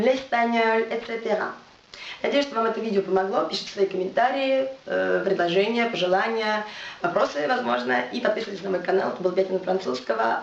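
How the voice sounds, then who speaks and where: A woman with a fairly high voice gives a French lesson, patiently repeating each word slowly. She sounds set back from the mic in an echoey room. The sound is dull and carries a faint hiss. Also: distant mic. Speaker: French teacher. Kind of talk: video language lesson.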